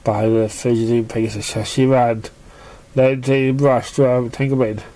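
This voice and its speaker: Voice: mid-range voice, little pitch movement, moderate pace, warm tone. A narrator reading a story aloud, occasionally stumbling over the written words. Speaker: fan fiction narrator.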